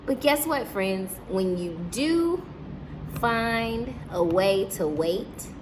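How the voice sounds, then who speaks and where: A woman's voice: medium pitch, expressive, extremely slow, noisy background. A woman teacher reading a story aloud to young children, breaking off to question and explain things to her listeners.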